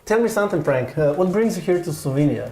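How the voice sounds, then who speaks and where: A man's voice: high-pitched, expressive, dark tone. Chatty cooking show host man, echoey room. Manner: chatty and interviewing, halting in English. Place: kitchen studio. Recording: echoey studio kitchen.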